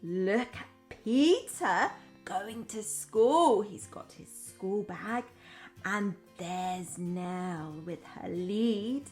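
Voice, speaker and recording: A woman primary school teacher reading a story aloud to young children in an enthusiastic, expressive way, chatting to them between lines. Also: mid-range voice. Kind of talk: children's storytime read-aloud with asides to the audience.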